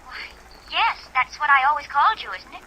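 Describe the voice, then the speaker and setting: High, piercing coy woman caller. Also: animated, brisk, highs cut off, a little hiss or hum. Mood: coy, familiar. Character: secretary posing as a familiar, coy woman caller. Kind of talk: 1950s radio drama acting. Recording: sharp vintage radio telephone sound. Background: rain sounds.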